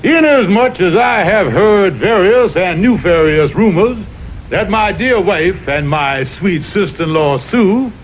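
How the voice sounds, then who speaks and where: Scheming cartoon colonel, Southern accent, high voice, pompous flowery talk, noisy vintage soundtrack. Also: male voice, lively intonation, a little slow. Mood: pompous, grand. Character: blustering, scheming Southern colonel fox, married freeloader. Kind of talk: 1960s TV cartoon acting. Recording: muffled, noisy old television soundtrack.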